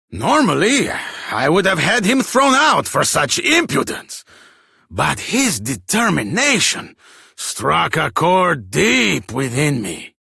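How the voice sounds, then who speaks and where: A man's voice: fairly high pitch, gravelly, pushed and bright, slightly rough, no echo, studio quality, heavily acted. An actor playing a grand, theatrical and generous king in a fantasy video game, with a pompous, florid manner. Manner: pompous, florid, dramatic delivery. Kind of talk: scripted fantasy game voice acting.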